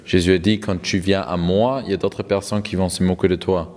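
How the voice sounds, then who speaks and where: A man's voice: sits low, steady tone, fairly quick, darkish tone. A man interpreting a testimony live, hesitant, repeating and rewording phrases as he goes. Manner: hesitant, repeats and reformulates words. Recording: long hall reverb.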